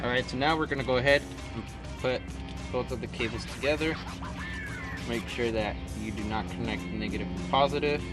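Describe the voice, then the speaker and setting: A man's voice: mid-range voice, a little fast. A man presenting a step-by-step installation how-to in casual, informal language. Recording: music behind the voice.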